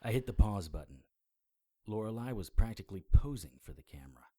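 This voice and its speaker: Deep, gruff noir private eye. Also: male voice, animated, fairly quick, warm tone, dry recording, studio quality. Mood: narrating. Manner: terse, gruff, world-weary narration and dialogue. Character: gruff, laconic hardboiled male private eye. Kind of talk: noir audio drama acting.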